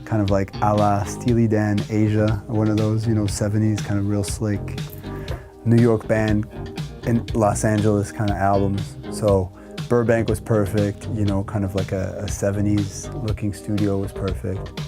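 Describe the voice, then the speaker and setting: A man's voice: on the low side, moderate pace. A man in his thirties casually touring his wood-panelled music studio, rambling and gesturing as he talks. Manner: relaxed, rambling, gestures with hands while talking. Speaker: musician giving a studio tour. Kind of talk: casual chat.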